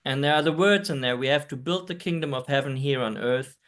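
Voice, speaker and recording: A man's voice: medium pitch, fairly quick, a bit bright, clear, resonant tone. Man from Germany, hesitant earnest presenter on a video call. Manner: hesitant, many ums, earnest.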